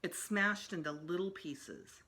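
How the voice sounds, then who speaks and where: A woman reading a children's story aloud as storytime narrator, expressive, with emphatic pauses. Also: fairly low pitch. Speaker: storytime reader.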